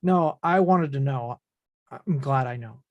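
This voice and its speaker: An actor playing an earnest, reasoning adult son in a table read. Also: male voice, mid-range voice, a little slow, dry recording, dull audio, natural voice. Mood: sober, earnest.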